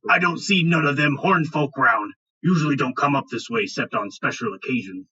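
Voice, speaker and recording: A gruff orcish patrol leader, put on by a male game master. The voice growls deep and husky with a hard, forceful edge. He sounds suspicious and used to giving orders in a fantasy game. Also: a little fast, far from the mic, lo-fi sound. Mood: wary, suspicious.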